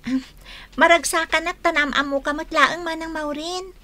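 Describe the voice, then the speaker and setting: Radio drama little sister: Ilocano, excitable and sweet, high-pitched, bright tone. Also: female voice, normal speed, no echo. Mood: cheerful.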